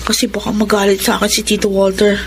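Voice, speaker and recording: An actress playing a chatty young best friend, playful and conspiratorial, in a radio drama. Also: female voice, medium pitch, little pitch movement, quick pace, lightly acted. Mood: teasing, secretive. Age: young adult. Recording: faint noisy ambience.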